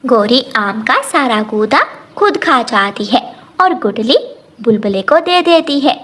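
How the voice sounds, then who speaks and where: A woman's voice: fairly high pitch, big pitch swings, takes it slowly, fairly bright tone. A woman narrating a scripted children's cartoon story.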